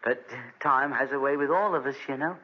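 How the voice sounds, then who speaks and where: An actor playing a kindly, respectful countryside retainer with a folksy manner in a 1940s radio drama. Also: male voice, mid-range voice, a little fast, lightly acted. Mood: startled, flustered. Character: deferential, kindly male countryside retainer, easily startled. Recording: dull restored 1940s radio recording.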